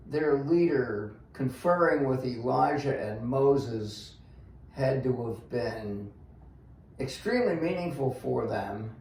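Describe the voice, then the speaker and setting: An older man, in his sixties or above, casually discussing the Bible in a halting, plain-spoken way and thinking aloud with pauses. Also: fairly high pitch, a little mellow. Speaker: guest on a church read-along discussion. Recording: echoey room, faint noise.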